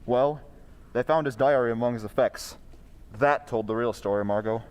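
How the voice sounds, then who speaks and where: A man's voice: mid-range voice, moderate pace, darkish tone, smooth, natural voice. An actor playing an authoritative male crime-fighting vigilante in an old-time radio drama. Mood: calm, explanatory.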